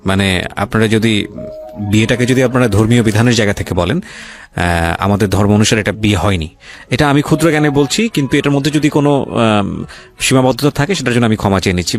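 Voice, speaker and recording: A male radio host interviewing a guest and directly advising listeners. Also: lowish voice.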